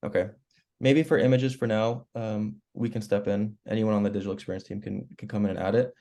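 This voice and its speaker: A hoarse man, losing his voice after a cold, walking colleagues through editing web pages in a relaxed, explanatory way on a video call. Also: fairly low pitch, a little fast. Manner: relaxed, explanatory, clears throat often. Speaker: web team member giving training. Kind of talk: screen-share walkthrough on a work call.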